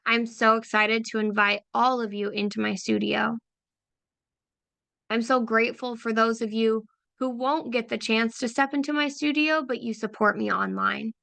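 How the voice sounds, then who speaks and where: A woman leading a guided visualization in a soft, unhurried, affirming way, often repeating phrases. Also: a little high, steady tone, creaky, no echo. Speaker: meditation guide for creative business owners.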